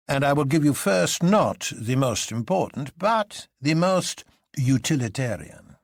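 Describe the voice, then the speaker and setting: A man voicing a sober, courteous elderly French colonel in a measured, formal and deliberate manner. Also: mid-range voice, big pitch swings, vocal fry, no room sound, clean studio sound, pitched above the performer's own voice, full character voice.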